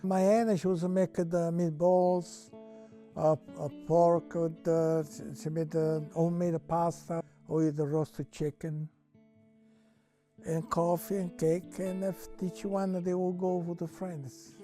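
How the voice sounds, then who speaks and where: An older man from Italy with an Italian accent and broken English, slowly reminiscing in an interview with many restarts. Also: on the high side, level tone, mellow, soft background music.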